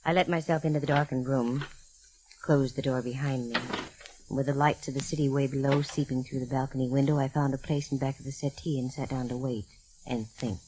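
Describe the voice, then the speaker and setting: A self-assured American woman detective from a 1940s radio mystery. Her voice sits low for a woman. She is wry and breezy, delivering hardboiled narration and snappy banter. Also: steady tone, a little fast, noisy background. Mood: hushed suspense. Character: wisecracking, self-assured female private eye telling her case.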